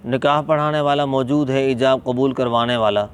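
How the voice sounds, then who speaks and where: A man with a Pakistani accent explaining a religious ruling as an Islamic scholar, deliberate and emphatic, repeating key points. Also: fairly even intonation. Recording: clear background noise, ambient vehicle rumble.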